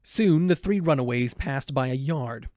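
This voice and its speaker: A man's voice: medium pitch, lively intonation, a little slow, darkish tone, no room sound, studio quality. A man narrating a children's storybook as a voiceover performer.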